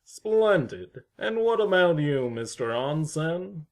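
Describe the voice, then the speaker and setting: A mysterious, courteous gentleman, acted by a man in an audio play. His voice sits a little high, and his phrasing is stiffly formal and wordy. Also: very animated, takes it slowly, heavily acted. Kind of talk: fan audio drama acting.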